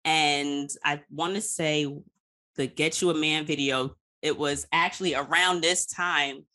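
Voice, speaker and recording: A woman's voice: deep voice, steady tone, a little slow, pushed and bright. A woman hosting a podcast in casual chat, talking in a playful, slangy way and swearing freely. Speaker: podcast host.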